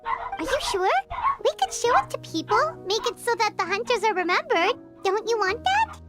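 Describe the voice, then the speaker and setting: A tiny, childlike game sidekick, squeaky and extremely high. She is excitable and nosy, swooping up and down as she exclaims, with loud music behind her. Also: normal speed. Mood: curious, impressed. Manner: squeaky, exclamatory, refers to herself in third person. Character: small floating childlike female companion, excitable and nosy. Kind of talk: video game voice acting.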